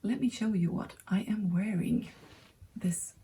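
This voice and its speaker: A Swedish woman in her thirties, speaking English as a second language, hosting a knitting podcast in a hesitant, casual way with many pauses. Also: mid-range voice, steady tone, dark tone. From Sweden. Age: 30s. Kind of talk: casual chat to camera.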